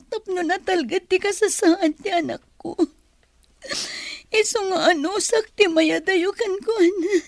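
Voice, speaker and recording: Woman doing sad pleading young mom in a radio-style drama, really high voice, dry sound. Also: a little slow, dry recording. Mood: sorrowful, pleading. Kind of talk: Ilocano audio drama acting.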